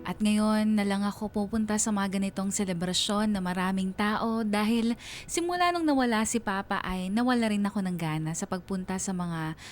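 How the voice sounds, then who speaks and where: A woman's voice: medium pitch, lightly acted. A woman playing a melancholy young writer who narrates her life story in a sentimental radio drama letter.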